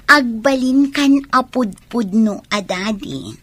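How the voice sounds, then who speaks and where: A woman's voice: a little high, fairly bright tone, slightly rough, dry recording, heavily acted. A woman playing a wheedling young student in a dramatic radio play style. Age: young adult. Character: young female student wheedling money from her sugar daddy.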